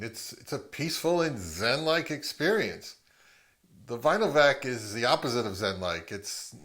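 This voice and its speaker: A man narrating a casual product review in a measured, wry and mildly critical manner. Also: mid-range voice.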